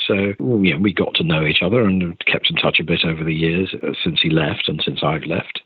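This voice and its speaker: Low husky older man on tinny line. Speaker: theatre director being interviewed. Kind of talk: conversational interview answers. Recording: tinny phone-like line, roomy echo.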